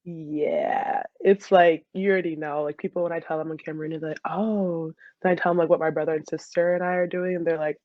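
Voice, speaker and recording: A woman's voice: low voice, fairly even intonation, a little fast, few pauses, no room sound. A woman in her twenties chatting casually as an interview guest, informal and easygoing, on slightly muffled audio. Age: 20s. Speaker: interview guest, dental student and soccer player. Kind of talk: casual chat.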